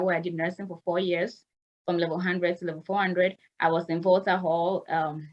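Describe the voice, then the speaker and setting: A woman's voice: on the low side, highs cut off. Woman host in her 30s, chatty explainer, video call audio. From Ghana. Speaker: host of a nurse consulting Zoom session. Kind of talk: informal video call briefing.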